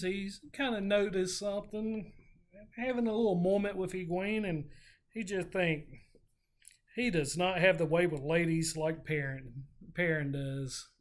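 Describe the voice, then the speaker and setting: A co-host casually recapping and discussing a book, telling the story in an informal, slightly halting way. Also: mid-range voice. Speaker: podcast co-host.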